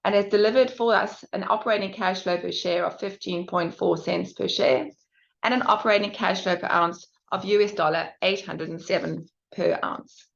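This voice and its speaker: A woman's voice: medium pitch, fairly even intonation, normal speed, creaky. A woman presenting financial results as an executive, reading figures formally and carefully with small stumbles. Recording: dull, band-limited conference call audio.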